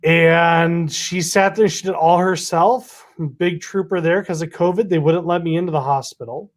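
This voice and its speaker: A man's voice: fairly high pitch, fairly even intonation, moderate pace. A man, hosting a casual chat and teasing his co-host. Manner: easygoing, teasing.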